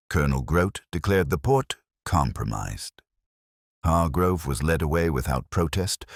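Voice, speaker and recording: A man with an extremely low voice reads a scripted mystery in a measured, literary way. His delivery is dryly understated yet lively in its rise and fall, and the sound is close and dry. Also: no echo. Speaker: narrator reading a mystery story. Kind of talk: scripted narration of a genteel comic mystery.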